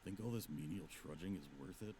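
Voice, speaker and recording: A man playing a gruff, stalwart, weary monster hunter in a visual novel, deep and gravelly, with a terse, grumbling delivery. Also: brisk, warm tone, a little hiss or hum, lightly acted.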